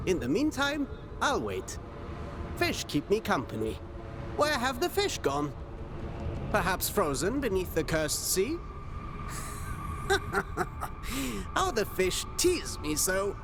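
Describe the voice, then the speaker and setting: A man's voice: high voice, huge pitch swings, slow pace, pauses often, background noise, full character voice. A man voicing an eccentric, wistful and stubbornly hopeful castaway fisherman in a video game, dreamy and rambling. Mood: wistful, whimsical. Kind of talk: video game cutscene acting. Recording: music behind the voice.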